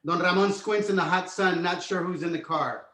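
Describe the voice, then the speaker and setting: Echoey higher-voiced male screenplay narrator. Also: normal speed, sharp tone. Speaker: stage directions narrator. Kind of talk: scripted narration. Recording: echoey room.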